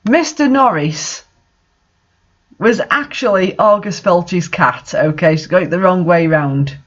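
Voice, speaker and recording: A man's voice: sits high, unhurried, a bit bright, highs cut off. A man hosting a comic reading of bad fanfiction, commenting casually in a bemused, hesitant, mocking manner.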